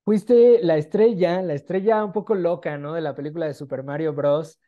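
A man's voice: on the high side, steady tone, moderate pace, narrow, tinny audio. A man in his twenties with a Mexican accent, interviewing casually and enthusiastically over a video call. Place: small room at home, on a video call.

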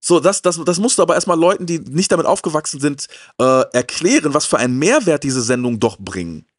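A man's voice: on the high side, big pitch swings, fast talker, slightly rough, no room sound, studio quality. A middle-aged man chatting casually and enthusiastically as host, talkative and repeating words for emphasis. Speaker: show host. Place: indoor media collection room, seated on sofa. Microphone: handheld microphone close to mouth.

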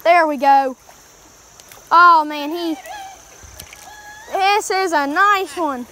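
Easygoing woman filming a creek fishing trip, high bright voice. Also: very slow, a little hiss or hum. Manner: chatty, easygoing, talks to viewers. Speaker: fishing vlogger. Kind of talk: casual running commentary while fishing. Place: outdoors by a wooded mountain creek. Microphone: handheld phone camera.

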